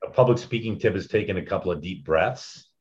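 Low deep guy going over public speaking tips, loud, laid-back and rambly, kinda muffled lo-fi audio. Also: a little fast. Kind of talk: casual teaching chat.

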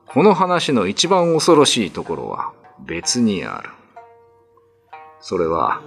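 A man narrating a classical ghost story for radio drama in a theatrical, ominous, scripted style. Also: lowish voice. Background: music.

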